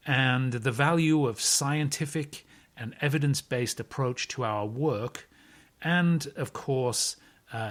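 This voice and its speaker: A man hosting a podcast, who reads the episode introduction and then asks interview questions in a friendly, measured way. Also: medium pitch. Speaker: podcast host.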